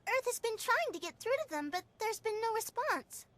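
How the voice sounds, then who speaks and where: A woman's voice: very high voice, lively intonation, normal speed, fairly bright tone. A woman with an American accent voicing a brash, teasing young mission operator in an anime-style game, perky and theatrical. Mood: briskly official.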